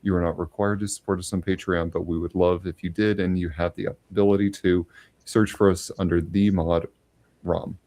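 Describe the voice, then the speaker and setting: Lower-voiced male host losing his voice. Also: level tone. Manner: warmly grateful, halting with fillers. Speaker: podcast host. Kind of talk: podcast outro.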